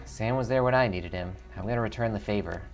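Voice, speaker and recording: A man's voice: lowish voice, fast talker, faint music behind, natural voice. A man voicing a cool, loyal newcomer investigator, the player hero of a video game, plain and questioning. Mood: resolute.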